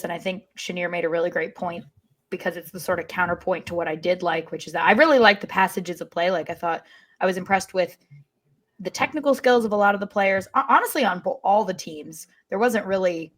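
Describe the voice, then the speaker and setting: A woman's voice: fairly low pitch, a bit bright. A woman giving casual sports analysis as a podcast panelist, rephrasing as she goes.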